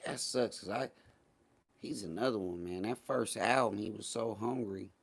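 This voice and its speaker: Low-voiced man, teasing live streamer. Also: moderate pace, gravelly, husky. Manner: teasing, boastful, slangy. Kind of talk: casual live stream chat with viewers.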